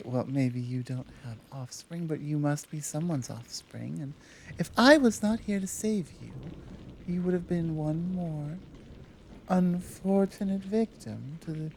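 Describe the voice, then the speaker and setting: A woman playing a gentle but guarded, protective Amazon warrior in an ASMR roleplay, soft-spoken and earnest, with hesitant pauses and gentle chiding. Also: deep voice, a little hiss or hum, heavily acted. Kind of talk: ASMR roleplay, one side of a dialogue.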